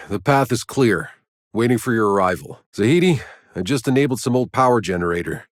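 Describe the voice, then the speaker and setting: An actor playing a gruff, world-weary soldier and scavenger in a video game, terse and dry, with a low husky voice. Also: male voice, no room sound. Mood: urgent, commanding.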